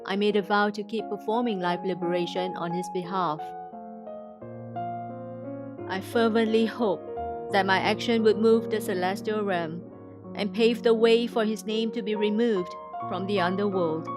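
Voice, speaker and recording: A woman from Indonesia reads a scripted inspirational testimony in a formal, measured way. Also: mid-range voice. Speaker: testimony speaker.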